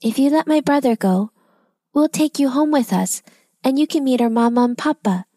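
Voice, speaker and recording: Lady putting on a sweet high little girl voice, polite, quick, dry sound. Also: no echo. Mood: kind. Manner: polite and calm. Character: brave, kind young girl.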